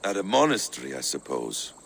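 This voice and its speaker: An actor playing an enigmatic, probing warrior in a video game, measured and inquiring. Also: male voice, rumbling low, big pitch swings, vocal fry, a little hiss or hum, heavily acted. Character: quiet, probing, enigmatic warrior seeking knowledge. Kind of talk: video game cutscene acting.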